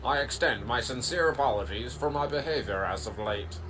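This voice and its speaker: A man's voice: medium pitch, expressive, a little fast, close to an everyday voice. A man playing a dapper con-artist pony brother in a cartoon comic dub, speaking in formal, flowery phrases. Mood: apologetic, admiring. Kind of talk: fan comic dub character acting.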